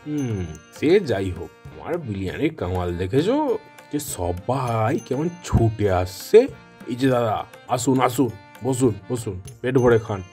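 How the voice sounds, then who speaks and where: A man's voice: medium pitch, very animated, a little slow, dark tone, soft background music. A man playing a gloating murderous cook. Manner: gloating, cackling villain. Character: greedy murderous male biryani seller. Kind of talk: Bengali cartoon dubbing.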